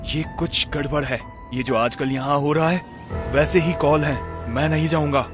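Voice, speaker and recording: A man playing a cautious, earnest villager in an animated horror story. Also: on the high side, normal speed, music in the background, natural voice. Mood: wary, uneasy. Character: wary, serious village man in horror cartoon. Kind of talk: Hindi animated story voice acting.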